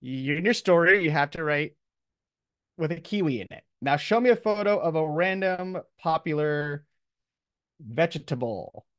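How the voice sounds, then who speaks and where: A man lecturing a class in a loose, conversational style. His voice sits a little higher than average for a man, and the sound is dry, with very little room to it. He explains things informally, as if thinking aloud. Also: lively intonation, moderate pace, fairly bright tone, dry recording. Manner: casual, off-the-cuff, conversational. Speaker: professor, lecturer.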